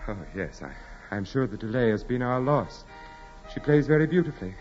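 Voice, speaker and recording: An actor playing a proud, imperious aristocratic landowner with formal, measured speech in a 1940s radio drama. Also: male voice, mid-range voice, expressive, darkish tone, natural voice. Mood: suave, courtly. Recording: muffled 1940s radio recording with music.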